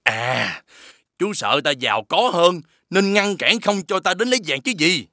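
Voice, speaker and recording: Domineering, distrustful elder brother, animated tale: high, swooping, overbearing. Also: male voice, a little slow, no echo, studio quality, full character voice. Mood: greedy. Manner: overbearing, scornful. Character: greedy, suspicious, domineering rich elder brother. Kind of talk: animated fairy-tale voice acting. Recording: music behind the voice.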